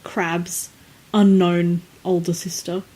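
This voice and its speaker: A woman's voice: lowish voice, little pitch movement, slow, dark tone, low-quality recording. A woman podcast co-host chatting casually, questioning things in a playfully skeptical, hesitant way. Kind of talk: casual chat.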